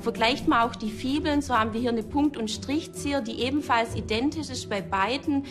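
High-pitched female expert over music. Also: fairly quick. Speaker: archaeology expert. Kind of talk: explanatory interview. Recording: music behind the voice.

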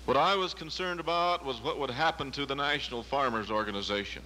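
A man's voice: mid-range voice, fairly quick, bright, forceful tone. A man, a newly elected organization president, giving an emphatic rallying convention speech from a podium. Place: large convention hall. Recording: reverberant convention hall with hum.

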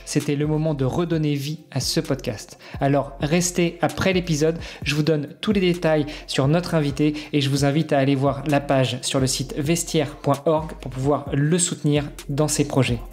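Higher-pitched man hosting a podcast. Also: steady tone, normal speed, hardly any pauses, a little mellow. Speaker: podcast host. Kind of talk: podcast intro narration and casual interview chat.

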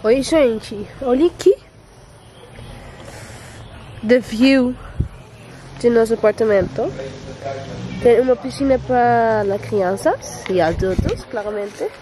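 A woman's voice: on the high side, slow pace, mellow, rough recording. A young Dutch-raised woman talks through a casual travel vlog in Portuguese, which is not her first language. She often stops to search for words and sometimes slips into English. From Netherlands. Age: young adult. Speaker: vlogger. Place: outdoors and indoors, apartment and streets.

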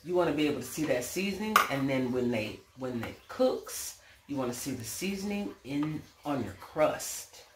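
An American woman talks through a home cooking demonstration in a casual, folksy way. Her pitch moves around a lot while her pace stays slow. The room rings around her, with clear noise behind. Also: lively intonation. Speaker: home cooking show host. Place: indoors in a home kitchen. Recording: roomy, clearly noisy home recording with clicks.

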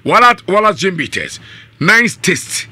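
A Ghanaian man hosts a radio talk show in middle age. His voice is very bright and forceful, a little high for a man, and recorded dry and close on a studio mic. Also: sing-song, unhurried, breathy edge, no echo. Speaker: radio host. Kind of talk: live radio talk show hosting with ad-libbed sponsor reads. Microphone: studio condenser mic on boom arm, up close.

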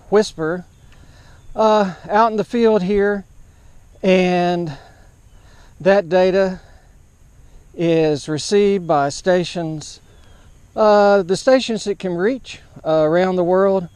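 A man narrating an outdoor setup vlog as an amateur radio operator, in a halting, matter-of-fact way. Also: high voice, a little mellow, clean tone, dry recording. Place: large open grassy park field, outdoors.

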